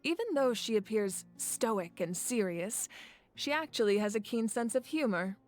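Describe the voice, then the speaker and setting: A woman's voice: fairly high pitch, expressive, faint music behind, lightly acted. Composed warrior woman telling tales played by an actress. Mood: fond, explanatory. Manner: measured, thoughtful storytelling. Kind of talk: video game story dialogue.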